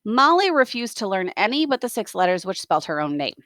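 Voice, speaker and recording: Animated woman teacher reading aloud. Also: mid-range voice, brisk, no room sound, clean studio sound. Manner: expressive, explanatory. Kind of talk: storybook read-aloud with explanatory asides.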